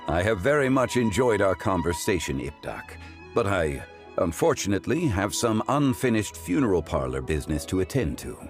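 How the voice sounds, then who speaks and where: A man playing a composed, wise gentleman in a video game, speaking with formal, measured eloquence. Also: sits low, sing-song, soft background music. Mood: gracious. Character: composed, courteous, scholarly gentleman of old-world wisdom.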